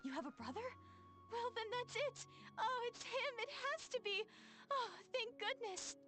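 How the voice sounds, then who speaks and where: A woman's voice: very high-pitched, very animated, a little slow, pushed and bright, soft background music, exaggerated voice. An actress playing a devout young village woman in a video game, speaking earnestly and a little hesitantly. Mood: hopeful, urgent.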